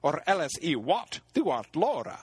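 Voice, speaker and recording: A man's voice: a little high, big pitch swings, a little slow, breathy edge, no echo, pitched above the performer's own voice, heavily acted. A man voicing a loathly old hag who demands a knight for a husband, declaiming archaic verse. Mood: demanding.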